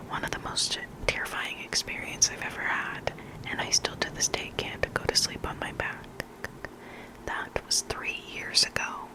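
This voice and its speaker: A hushed, breathy whisper reading stories slowly and deliberately in ASMR style, close to the microphone. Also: low-quality recording. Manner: slow, deliberate, hushed, with repeated trigger words. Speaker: host reading listener horror stories.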